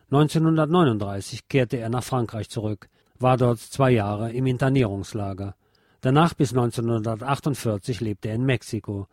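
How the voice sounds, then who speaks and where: A man's voice: mid-range voice, a little mellow, no room sound, clean studio sound. A man reading a scripted historical documentary narration as the programme's narrator.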